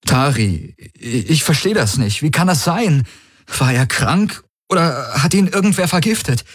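A man's voice: medium pitch, animated, unhurried, slightly rough, no room sound, clean studio sound, voice pushed up. A man voicing a male noble who feigns worry in a breathless, stammering, pleading way in an audiobook. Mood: worried, hesitant. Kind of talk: audiobook character acting.

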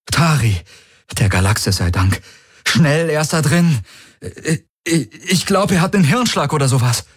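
A man's voice: medium pitch, animated, unhurried, breathy edge, no echo, clean studio sound, voice pushed up. A man voicing a male noble who feigns worry in a breathless, stammering, pleading way in an audiobook. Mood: frantic, stammering. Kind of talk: audiobook character acting.

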